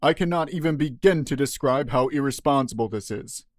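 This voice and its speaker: Police detective, audio drama, male actor: stern, formal, medium pitch, studio-dry sound. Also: no room sound, close to an everyday voice. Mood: angry. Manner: formal, measured, official. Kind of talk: audio drama news interview.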